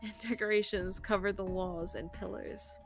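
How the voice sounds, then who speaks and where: A woman's voice: medium pitch, steady tone, normal speed, over music. A woman co-hosting a visual novel stream, reading narration aloud with casual, sweary asides. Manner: informal, sweary.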